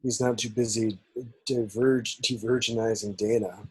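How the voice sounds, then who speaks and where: A man playing a cocky, crude young college jock in an amateur table read, slightly husky. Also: on the low side, fairly even intonation, normal speed, rough recording. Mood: mocking.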